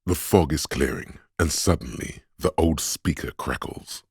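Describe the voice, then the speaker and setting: An actor playing the alert, wry inner voice of a detective's quick reflexes, narrating a video game in a deliberate, knowing way. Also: male voice, very deep voice, breathy edge, no echo, clean studio sound, heavily acted. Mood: wry, grand.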